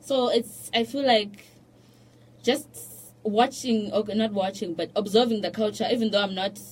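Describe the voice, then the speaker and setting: A young woman from Zimbabwe who is a musician, chatting as a guest in a radio studio and telling stories with restarts and soft laughs. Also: mid-range voice, fairly even intonation, moderate pace, rough recording. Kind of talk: casual radio interview chat.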